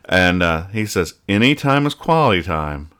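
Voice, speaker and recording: A man's voice: deep voice, sing-song, normal speed, fairly bright tone, smooth. A man hosting a casual comedy podcast, reading out and explaining things in a rambling, mock-serious way. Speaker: host of a comics-reading podcast.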